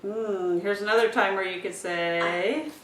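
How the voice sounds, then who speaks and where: A woman's voice: steady tone, a little slow. A woman thinking aloud as she gives casual instruction, presenting how to use a communication device. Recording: roomy, distant mic with rumbling noise.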